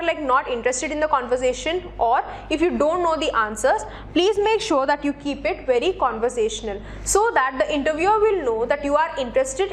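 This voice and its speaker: A woman presenting instructional advice in a didactic way, speaking directly to the listener in a semi-scripted style. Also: high-pitched. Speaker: presenter of interview tips for job seekers.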